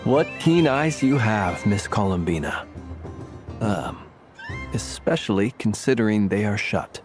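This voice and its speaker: A whimsical talking lantern speaks with elaborate, gracious courtesy. The pitch moves in lively theatrical sweeps, in video game style, with music clearly playing behind. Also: male voice, a little slow. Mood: courtly. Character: courteous, whimsical talking lantern man who sells candy.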